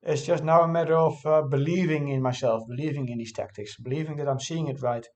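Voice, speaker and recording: A man's voice: a little high, steady tone, fairly quick, a little mellow. A man who is not a native English speaker, casually thinking aloud as he reviews his own game, hesitant and often correcting himself.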